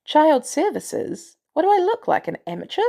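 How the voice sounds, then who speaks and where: A woman's voice: sits high, big pitch swings, moderate pace, creaky, warm tone, voice pushed up, exaggerated voice. A woman voicing a brusque, commanding female agency director in an audiobook story, blunt and sardonic with an air of authority. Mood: boastful.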